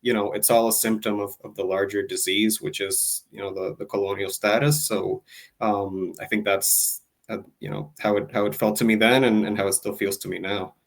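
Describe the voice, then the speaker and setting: Man, from Puerto Rico, low-pitched voice, earnest, halting interview answers. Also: level tone. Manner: earnest, many restarts and fillers. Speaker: guest, advocacy group president.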